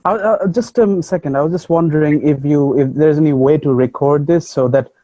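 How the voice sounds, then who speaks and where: A man's voice: fairly high pitch, a little fast, mellow, dry recording, poor audio. A young man from Saudi Arabia on a video call, talking hesitantly with many fillers. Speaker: new business owner.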